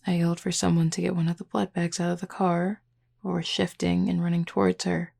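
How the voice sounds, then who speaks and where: A woman's voice: level tone, darkish tone. A woman playing a flirty, laid-back, protective female werewolf in an intimate audio roleplay, speaking casually and unhurriedly to the listener. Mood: calm, reflective. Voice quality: low, husky, gravelly. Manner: casual, unhurried, teasing, nonchalant.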